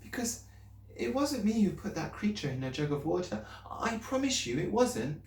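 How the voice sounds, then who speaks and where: A man reading aloud and voicing a small, bright, earnest girl who asks eager, pleading questions. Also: high-pitched, sing-song, normal speed, voice pushed up. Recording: roomy, distant mic.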